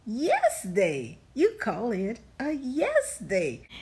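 High-pitched, very animated woman reading storybooks. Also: very slow. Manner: playful, affectionate sing-song address to children. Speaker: children's read-aloud host.

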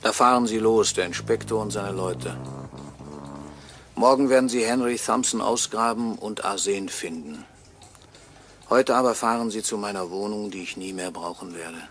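An actor playing a bitter murder victim who narrates a radio crime drama with brooding, sardonic deliberation and a gravelly voice. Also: male voice, on the low side, moderate pace, fairly bright tone, slight background noise. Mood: resigned, brooding. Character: bitter murdered watchmaker's employee, narrating from beyond death.

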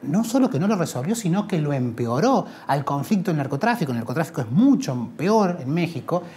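A man's voice: on the high side, big pitch swings, brisk, warm tone. A man in his thirties with an Argentine accent, giving earnest, argumentative interview answers in an indoor room. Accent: Argentine Spanish. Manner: earnest, argumentative, rising emphasis on key points. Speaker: lawyer and researcher, interviewee.

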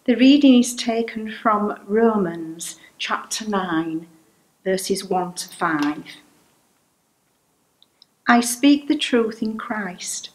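An older woman reading a Bible passage at a church service, slow, measured and careful. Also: mid-range voice, lively intonation, poor audio. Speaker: lay reader.

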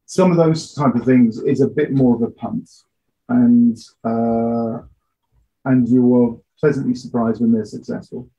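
Man, middle-aged, very dark mellow tone, measured interview talk, dull lo-fi call audio. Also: mid-range voice, steady tone. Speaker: audio drama producer being interviewed. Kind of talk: conversational interview answers. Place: small home office, indoors. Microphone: computer mic on video call.

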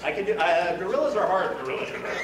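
A middle-aged man giving an excited science talk to schoolchildren, slipping in Spanish phrases and taking their questions. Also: high-pitched, fast talker. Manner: enthusiastic, mixes English with Spanish phrases; walks and gestures in front of the audience. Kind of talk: classroom talk with Q and A for children. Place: large school cafeteria with many children. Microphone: wireless headset or lapel mic. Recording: roomy hall, from a distance, children noisy behind.

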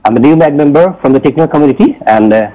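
A man's voice: fairly high pitch, animated, rapid-fire. A middle-aged man with a Bangladeshi accent introducing himself to a meeting as a delegate. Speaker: technical community delegate, industry executive. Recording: dull, muffled remote audio.

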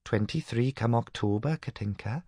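A man's voice: mid-range voice, moderate pace, warm tone, slightly rough, no echo, voice pushed up, close to an everyday voice. A fond, gossipy Welsh housewife acted out by a man chats in a storybook reading. The voice is lifted above the reader's own. The recording is dry. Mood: softly fond. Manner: gossipy, affectionate. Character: chatty, fond Welsh housewife reminiscing over family.

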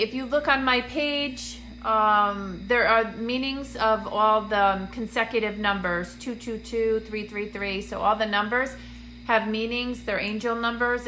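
A bright-voiced woman chats on a phone live stream from a dim room, greeting viewers by name between plenty of ums. She sounds congested and a touch raspy, with a faint hum behind her. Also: medium pitch, rough recording. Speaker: medium hosting a live stream. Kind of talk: casual livestream chat to viewers. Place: dim indoor room, seated on a couch. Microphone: phone camera at arm's length.

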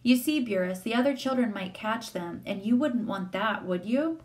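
A woman's voice: on the high side, expressive, moderate pace, a little mellow, close to an everyday voice. A woman voicing a prim, nervous female schoolteacher in a story, proper and polite in her speech. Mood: prim, uneasy.

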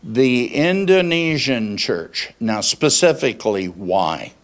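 A man in his sixties preaching conversationally, in deliberate phrases, putting questions to his congregation into a handheld microphone. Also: mid-range voice, lively intonation. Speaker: pastor. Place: small church meeting room, indoors. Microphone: handheld microphone held at chest.

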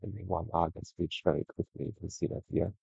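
A man giving a relaxed, conversational screen-share review of trading charts, often ending points with "right?". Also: deep voice, a little fast, gravelly, slightly rough, dry recording, dull audio. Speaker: trading educator.

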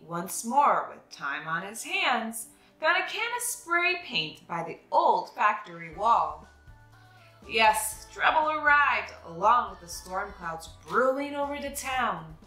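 A young adult woman reading a children's picture book aloud with expressive, dramatic phrasing. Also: fairly high pitch, unhurried, roomy sound, soft background music. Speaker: children's read-aloud host.

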